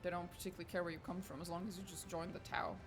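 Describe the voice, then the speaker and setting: A woman's voice: lowish voice, little pitch movement, very fast, music in the background. Chatty woman streaming a game. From Portugal. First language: Portuguese. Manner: chatty, swears casually, talks to chat. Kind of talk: casual gaming livestream chat.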